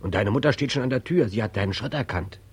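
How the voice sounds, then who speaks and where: An actor playing a gentle, luring guide who calls a soldier homeward, hushed and husky, in 1940s radio drama style. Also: male voice, medium pitch, expressive, fast talker. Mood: soft, coaxing.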